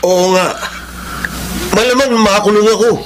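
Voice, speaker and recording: An actor playing a brash, self-serving elder brother in a Filipino radio drama. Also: male voice, high-pitched, measured pace. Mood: cornered. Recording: clear background noise.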